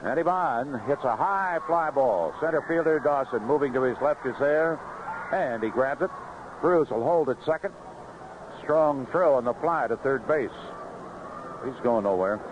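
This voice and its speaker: A man's voice: medium pitch, expressive, a little slow. A man doing live radio play-by-play of a baseball game, conversational and folksy between the calls. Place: outdoor ballpark broadcast booth. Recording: dull, noisy vintage 1970s radio broadcast.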